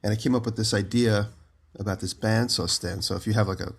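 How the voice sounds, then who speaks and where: A man with a lowish voice, still groggy and a little deeper than normal after waking up early. He rambles loosely through a story over a remote call from his home. His delivery is relaxed and chatty. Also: a little fast, slightly rough. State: just woke up, voice lower than usual. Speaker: podcast co-host. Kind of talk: casual chat.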